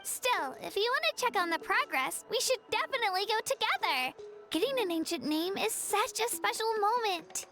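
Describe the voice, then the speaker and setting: A woman's voice: very high-pitched, expressive, normal speed, sharp tone, faint music behind, full character voice. A woman voicing a cheerful, sweet, eager young girl in a video game, bubbly and friendly.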